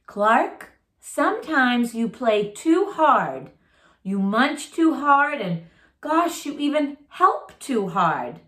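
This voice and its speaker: A woman voicing a kindly but firm female sea-creature teacher in a storybook, measured and gently instructive. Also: sits high, big pitch swings, echoey room, full character voice. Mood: gently correcting.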